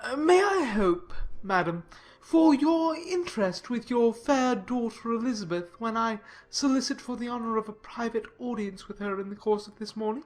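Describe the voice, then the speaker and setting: A pompous, self-satisfied clergyman played by a woman in a dramatized classic novel, pitched fairly high. The delivery is formal and long-winded, every phrase solemnly laid out. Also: normal speed, few pauses, darkish tone, faint music behind, natural voice. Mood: courteous, formal. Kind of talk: dramatized audiobook acting.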